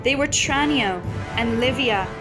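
A woman reading a children's picture book aloud like a storyteller, with measured, deliberate phrasing and pauses. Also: a little high. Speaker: read-aloud teacher and storyteller. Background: music behind the voice.

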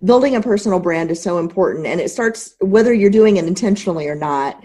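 Female podcast interviewer, dark mellow tone, on the low side, measured and articulate. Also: lively intonation. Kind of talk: conversational interview.

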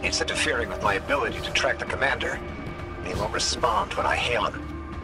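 A blunt, intense guide in a video game. The mid-pitched male voice moves up and down a lot and talks with urgency over clear music. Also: very animated, brisk. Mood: worried. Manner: urgent, pointed, challenging. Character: male guide tracking his commander, intense, defiant, blunt.

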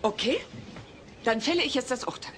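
A woman's voice: on the high side, lively intonation, a little fast, a bit bright, slight background noise. An actress playing a no-nonsense mother acting as judge in a German comedy audio drama, firm and curt.

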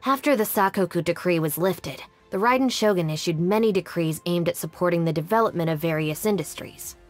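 A woman's voice: lowish voice, a little fast, natural voice. A woman playing a capable, composed young deputy gang leader in a video game, speaking in a dry, self-assured, matter-of-fact way. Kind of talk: scripted game character monologue. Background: background music.

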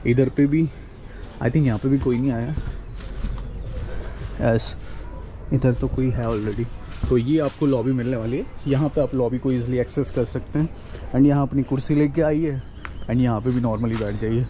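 A man's voice: mid-range voice, fairly even intonation, moderate pace, long pauses, warm tone. Chatty young man vlogging outdoors. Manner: chatty, mixes English words into Hindi. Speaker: travel vlogger. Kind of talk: casual walk-and-talk vlog. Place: outdoors at a hillside campsite. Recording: faint outdoor noise.

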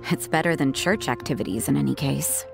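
A woman's voice: extremely low pitch, fairly quick, gravelly, music in the background. A woman voicing an aloof young nun who speaks in a cool, dry, sardonic way. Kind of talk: video game voice acting.

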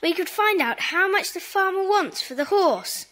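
Very high, eager child. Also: female voice, animated, moderate pace, fairly bright tone. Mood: determined. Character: eager, indignant, kind schoolchild. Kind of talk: children's audio drama acting.

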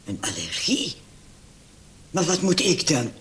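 A man playing a brisk, condescending emergency doctor on night duty in a vintage radio play. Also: fairly high pitch, wildly swooping pitch, fairly bright tone, full character voice. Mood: brisk, questioning. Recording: old radio recording with clear hiss.

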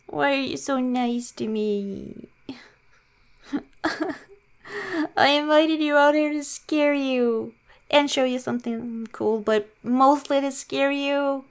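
A woman playing a shy, awkward young werewolf girlfriend in improvised ASMR roleplay, halting and self-mocking. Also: sits high. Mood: embarrassed, rueful.

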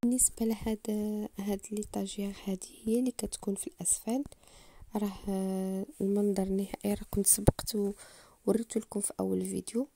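A woman's voice: medium pitch, little pitch movement, vocal fry, heavy background noise. A woman with a Moroccan Arabic accent hosting a home DIY channel, explaining furniture assembly step by step to viewers in a voice-over. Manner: unhurried, explanatory, addresses viewers directly.

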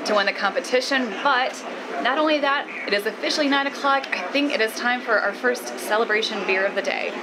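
A woman's voice: fairly high pitch, brisk, loud music behind the voice, rough recording. A woman in her thirties hosting a casual sailing vlog, chatty and upbeat, talking to a handheld camera. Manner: chatty, upbeat, a bit playful. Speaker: sailing vlogger. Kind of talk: casual vlog chat and sponsor read. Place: out on a fishing boat on open water. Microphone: handheld camera held at arm's length.

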